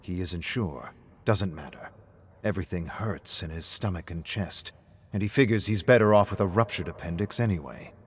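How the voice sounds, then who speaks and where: A man's voice: low voice, moderate pace, breathy edge, dry recording. A man narrating a scripted dramatic story.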